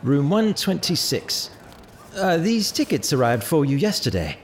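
A man's voice: fairly high pitch, big pitch swings, a little slow, slight background noise. An actor playing a courteous, attentive man who assists travellers in an audio drama, polite and obliging.

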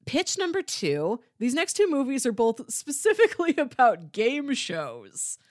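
A woman co-hosting a podcast in a casual, informal chat, describing things in a conversational and animated way. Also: sits high, unhurried, no echo, clean studio sound. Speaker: podcast co-host. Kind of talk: casual chat, pitching movies.

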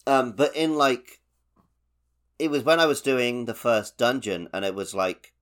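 A man's voice: medium pitch, steady tone, unhurried, a bit bright. Man, mid-range voice, informal podcast co-host talking video games. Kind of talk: casual video game chat.

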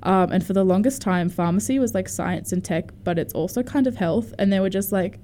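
A woman's voice: mid-range voice, a little fast, warm tone, a little hiss or hum. A young woman hosting a student radio show, chatting in a bubbly, giggly, rambling way. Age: young adult. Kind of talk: casual radio chat.

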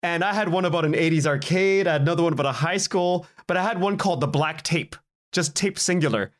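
A man's voice: on the high side, fast talker. A man, a podcast guest telling anecdotes in a casual, self-deprecating way.

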